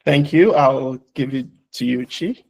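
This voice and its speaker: A man, the company founder, giving an earnest launch speech and moderating a panel, halting often with fillers. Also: medium pitch, creaky, darkish tone, low-quality recording.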